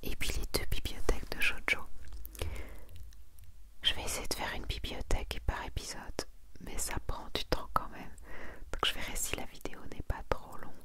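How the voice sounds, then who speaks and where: A woman whispering in a breathy, intimate way, as the host of an ASMR video speaking to one listener. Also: moderate pace, pauses often. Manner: soft, intimate, addresses one listener informally. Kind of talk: whispered ASMR, chatting and reading out manga summaries.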